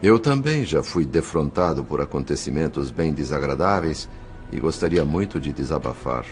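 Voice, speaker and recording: Audiobook narrator role: courteous male spirit companion played by a man, very low voice, expressive intonation, formal storytelling. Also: normal speed, soft background music. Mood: courteous, sympathetic.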